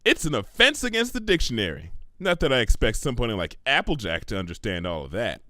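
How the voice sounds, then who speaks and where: A man's voice: mid-range voice, very animated, fast, bright, forceful tone, no room sound, studio quality. A man voicing an earnest, bookish female unicorn pony who is exasperated and authoritative, speaking in a wordy, lecturing, emphatic way. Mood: indignant, lecturing.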